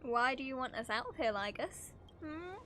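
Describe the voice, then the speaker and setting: A woman with a fairly high voice gives live game commentary. She is casual and playful, slipping between reading along and reacting, with slight noise in the background. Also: expressive, normal speed, pushed and bright. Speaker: game streamer. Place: live stream over game audio.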